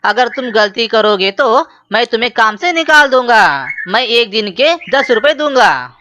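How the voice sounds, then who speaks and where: A woman's voice: fairly high pitch, expressive, a little slow, sharp tone, slight background noise. A woman voicing a harsh, domineering male bird shop boss in a children's cartoon, stern and threatening. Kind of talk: children's cartoon voice acting in Hindi.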